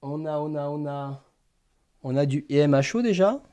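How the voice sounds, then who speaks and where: A man's voice: on the high side, normal speed, warm tone, clear, resonant tone. A man hosting a casual live stream, hesitantly thinking aloud as he types. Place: small indoor room lined with bookshelves.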